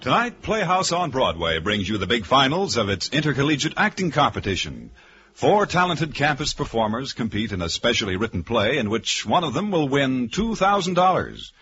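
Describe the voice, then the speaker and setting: A man's voice: medium pitch, very animated, moderate pace, a bit bright. An American man as a mid-century network radio announcer, reading credits and promos in a polished, emphatic way. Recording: dull old radio broadcast, faint music.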